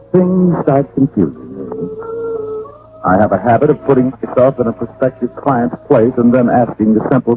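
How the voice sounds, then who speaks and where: A man's voice: lowish voice, lightly acted. An American actor playing a witty, sardonic private detective who narrates his case in old-time radio style. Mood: wry narration. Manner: wry, sardonic. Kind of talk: 1940s-50s radio detective acting and narration. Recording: muffled, hissy vintage radio recording.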